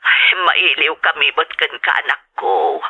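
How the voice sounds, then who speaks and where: A woman's voice: deep voice, very animated, measured pace, husky, heavily acted. Fretful mother on a tinny phone line. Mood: worried. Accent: Ilocano. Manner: fretful, tender. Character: worried, loving older mother back home. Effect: telephone filter. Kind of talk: Ilocano radio drama acting. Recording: thin, band-limited telephone sound.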